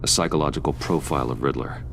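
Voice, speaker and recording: An actor playing a guarded, courteous wealthy businessman in a video game, gravelly and measured in delivery. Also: a bit bright, slight background noise, heavily acted. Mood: calm, serious. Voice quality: very deep, gravelly.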